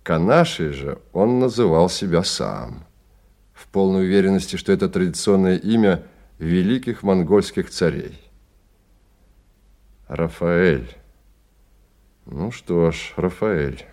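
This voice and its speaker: A man's voice: low-pitched, animated, takes it slowly, long pauses, darkish tone. A man narrating a Russian radio play as a scripted literary story.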